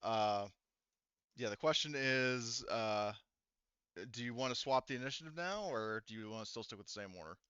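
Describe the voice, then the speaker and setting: A man acting as game master of a tabletop roleplaying game, narrating casually and in an animated storytelling manner. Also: medium pitch, moderate pace, smooth, no room sound, dull audio.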